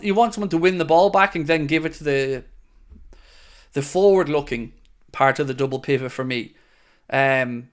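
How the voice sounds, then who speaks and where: A young man hosting a live fan stream, reading out viewer questions and answering in a chatty, upbeat way. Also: on the high side. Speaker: host of a football fan channel.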